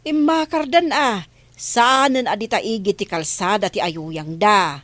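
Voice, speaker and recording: A woman's voice: mid-range voice, measured pace, fairly bright tone, dry recording, lightly acted. A woman playing a chatty, gossipy female village councilor in a comedy drama.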